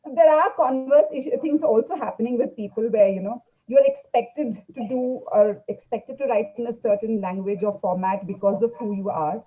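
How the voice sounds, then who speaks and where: A woman novelist whose first language is Urdu, talking in a halting way with many fillers on a panel held over a video call. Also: fairly high pitch, mellow, breathy edge, muffled recording.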